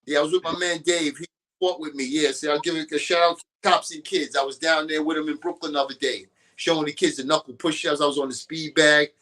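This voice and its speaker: A man's voice: fairly high pitch, steady tone, fast talker, sharp tone. A man as a guest on a boxing talk show, giving loose and opinionated casual talk.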